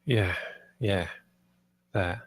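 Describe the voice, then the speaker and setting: A man's voice: deep voice, extremely slow, dry recording, heavily acted. A man reading the part of a downcast, subdued creative developer at a screenplay table read.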